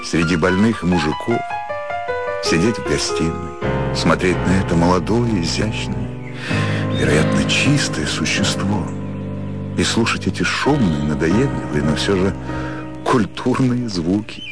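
An actor playing a polite, educated provincial doctor, quietly ironic and reflective, in a radio drama. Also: male voice, low voice, expressive, unhurried. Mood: pleased, musing. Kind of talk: radio drama acting, inner monologue. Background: loud music.

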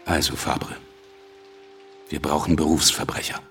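An actor playing a cunning, ruthless, self-assured revolutionary leader in a radio drama, gravelly and deliberate in delivery. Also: male voice, rumbling low, steady tone, fairly bright tone, faint music behind. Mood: grave, resolute.

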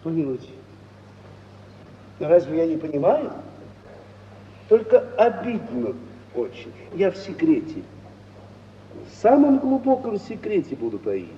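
An actor playing a foolish, sentimental and pompous suitor in halting, effusive 1950s Russian radio theatre style. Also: male voice, a little high, sing-song, distant mic. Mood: wounded, pleading. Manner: halting, effusive, swooping delivery with drawn-out words. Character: foolish, sentimental suitor, smitten yet pompously honour-bound. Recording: old roomy stage-like radio recording, dull, hissy.

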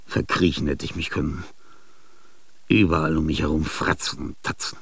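An older man acting in a radio play, with a husky, gravelly voice and a halting, dreamlike monologue that slips into whispers. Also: rumbling low, fairly even intonation, far from the mic. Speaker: radio drama actor.

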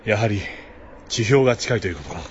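Very deep, grim expedition leader actor's role. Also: male voice, animated, fast talker, dull audio, slight background noise, close to an everyday voice. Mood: resolute. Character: grim, resolute ex-soldier leading an expedition. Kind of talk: Japanese radio drama acting.

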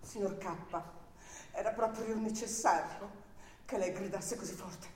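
An actress playing a reserved, dignified young woman lodger in an Italian radio drama, speaking in a measured, deliberate, guarded way. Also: medium pitch, steady tone, darkish tone, close to an everyday voice. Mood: weary, restrained. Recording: very echoey, distant, noisy room sound.

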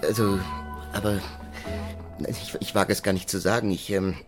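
A man's voice: deep voice, steady tone, moderate pace, music in the background, lightly acted. A man playing a nervous, inexperienced young man, hesitant and deferential, in a German radio play. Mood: embarrassed, pleading. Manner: hesitant, stammering, repeating words.

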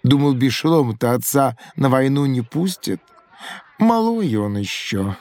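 A man's voice: medium pitch, expressive, measured pace, a little mellow, no room sound, close to an everyday voice. A folksy schoolmaster from olden times, acted by a man in an educational audio play. He explains his craft expressively, in the manner of a village storyteller. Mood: fond, storytelling. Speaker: teacher. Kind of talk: educational audio drama acting.

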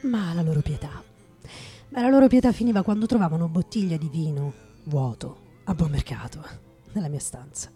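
A low, mellow voice plays a bitter, hard-drinking writer in a radio play. The delivery is brooding and halting, repeating words for emphasis. Faint music runs behind it. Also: female voice, lively intonation. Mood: bitter, reflective. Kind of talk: theatrical radio drama monologue.

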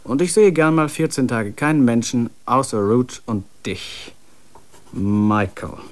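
A male actor as a twenty-year-old Englishman in a radio drama. He has a medium-pitched voice and reads his letters soberly, with dry understatement and a sceptical edge. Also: unhurried, natural voice. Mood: dry scepticism. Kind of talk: radio play, letter reading.

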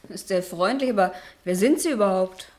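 A woman's voice: mid-range voice, a little fast, a little mellow, natural voice. A woman playing an inquisitive traveller in a radio play, wry and probing in the way she asks questions. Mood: curious. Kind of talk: German radio play acting.

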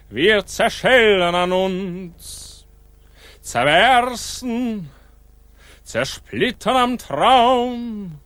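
High, very slow lamenting dreamer. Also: male voice, a bit bright, no echo, heavily acted. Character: despairing dreamer intoning lyrical lament. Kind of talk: radio drama recitation.

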